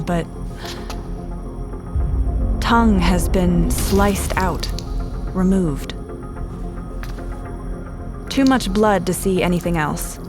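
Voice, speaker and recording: An actress playing a sharp, composed special agent in an audio drama, speaking in terse, clinical fragments like a profiler examining a scene. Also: female voice, mid-range voice, close to an everyday voice. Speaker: investigator. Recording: music behind the dialogue.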